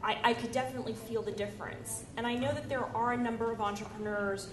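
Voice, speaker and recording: A woman moderates a conference panel, engaged and thoughtful as she works through her questions. Her voice is fairly high, and the room is echoey, with an audience clearly heard in the background. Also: steady tone, fast talker, a crowd in the background. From United States. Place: conference stage in a large hall. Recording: echoey hall with audience noise.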